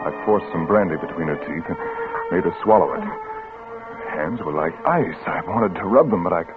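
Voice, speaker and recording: An actor playing a shaken, grieving father who narrates haltingly in a 1950s radio drama. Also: low voice, huge pitch swings, gravelly, over loud music, exaggerated voice. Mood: numb horror. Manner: halting, hushed, dramatic pauses. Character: shaken, grieving father turning coldly vengeful. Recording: tinny vintage 1950s radio recording.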